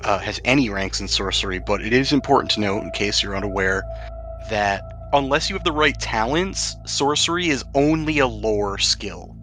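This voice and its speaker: A man's voice: lowish voice, expressive, moderate pace. A man running a tabletop role-playing game, explaining rules and scenes in detail. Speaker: game master. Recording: faint music behind the voice. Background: faint background music.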